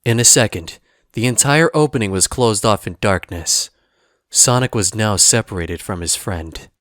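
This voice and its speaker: A man's voice: fairly low pitch, moderate pace, a bit bright, no room sound, clean studio sound. A man giving scripted narration for an audio drama as its storyteller. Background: clear background music.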